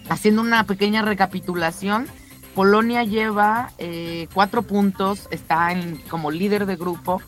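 A woman's voice: mid-range voice, fairly even intonation, normal speed. Female radio co-host, Mexican accent, conversational sports news delivery, chatty, faint music behind. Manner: chatty, addresses co-hosts directly. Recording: music under the voice.

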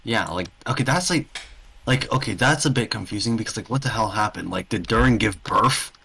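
A man's voice: on the low side, lively intonation, fairly quick, gravelly, bright, forceful tone, a little hiss or hum. A man chatting casually, hesitant and questioning as he works through his thoughts. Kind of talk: casual chat.

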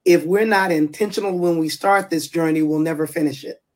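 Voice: mid-range voice, steady tone, a little fast, darkish tone. Podcast guest, public speaker, earnest, emphatic, repeats phrases, remote call. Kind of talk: casual interview chat.

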